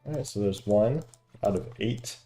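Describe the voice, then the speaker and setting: A man's voice: on the low side, normal speed, mellow, faint music behind. A man giving casual gameplay commentary, muttering to himself as he thinks aloud. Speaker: video game streamer.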